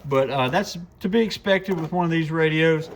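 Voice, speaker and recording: A man with a slightly high voice walks through a product in casual, off-the-cuff language. He explains things step by step like a shop host showing gear to viewers. Clear background noise sits behind his voice. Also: normal speed. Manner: informal, unscripted, explanatory. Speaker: radio shop host demonstrating a CB radio. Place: radio shop.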